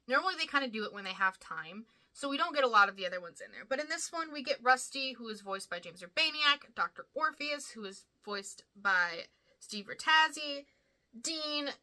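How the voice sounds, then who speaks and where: Bright, sharp-voiced woman hosting a countdown. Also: high voice, animated, moderate pace, low-quality recording. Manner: enthusiastic, emphatic. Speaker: host of a ranking video. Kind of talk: fan commentary, countdown.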